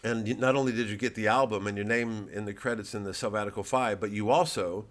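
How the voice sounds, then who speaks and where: A man's voice: fairly low pitch, fairly even intonation, fast, a bit bright. A man, a comedian, talking casually to camera in a rambling way, with stammering restarts and free swearing.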